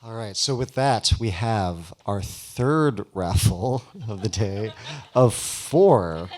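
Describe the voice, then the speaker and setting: A man's voice: fairly low pitch, expressive, slow pace, darkish tone. A man hosting a live ceremony in a casual, informal way with playful banter. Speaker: event host. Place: live awards ceremony before an audience.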